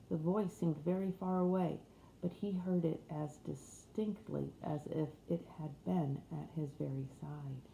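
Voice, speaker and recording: A woman reads a storybook in a soft-edged, mellow tone. The room adds clear echo, and a faint noise sits behind her. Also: fairly low pitch. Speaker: audiobook reader. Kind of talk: scripted storybook narration.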